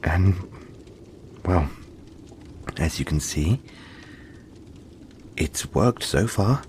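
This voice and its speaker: A man voicing a gentle, friendly young yeti in an intimate audio roleplay, speaking softly and reassuringly, with halting, breathy phrasing. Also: deep voice, animated, a little hiss or hum, exaggerated voice. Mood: friendly, earnest. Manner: soft-spoken, reassuring, halting, often breathy near-whisper.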